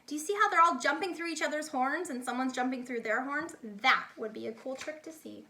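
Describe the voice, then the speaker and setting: Bright, high-pitched woman reading to children. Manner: animated, deliberate, explains words to children. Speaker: children's read-aloud storyteller, teacher-like. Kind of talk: expressive picture-book read-aloud with asides to young listeners.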